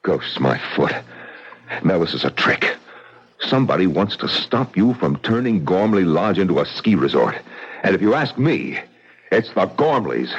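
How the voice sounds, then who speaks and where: An actor playing a blunt, forceful middle-aged businessman and widower in a vintage radio mystery. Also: deep voice, big pitch swings, normal speed, slightly rough, full character voice. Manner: blunt and forceful, speaks his mind. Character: blunt, tough-minded male businessman widower. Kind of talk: vintage radio drama acting. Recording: dull old radio broadcast.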